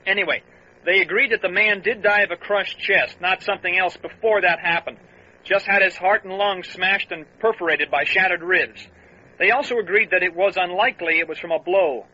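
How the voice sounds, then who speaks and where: Sharp, high-voiced deputy on police radio. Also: male voice, normal speed, exaggerated voice. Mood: matter-of-fact. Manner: clipped, matter-of-fact reporting. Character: earnest, matter-of-fact deputy reporting to his boss. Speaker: voice actor. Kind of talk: old-time radio revival drama acting. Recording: lo-fi two-way radio sound.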